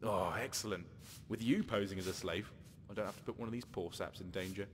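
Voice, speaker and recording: A man's voice: deep voice, fairly quick, fairly bright tone, long reverb tail, a little hiss or hum. An actor playing a sly, scheming male hunter who poses as a slaver, talking wryly in a game. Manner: wry, scheming, conversational. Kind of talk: game character dialogue.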